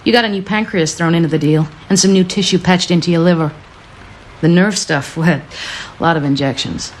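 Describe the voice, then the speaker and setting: An actress playing a tough, no-nonsense female mercenary in a radio drama, dry and brisk. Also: on the low side, a little hiss or hum, lightly acted. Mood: dry, matter-of-fact. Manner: brisk, dry, hard-edged.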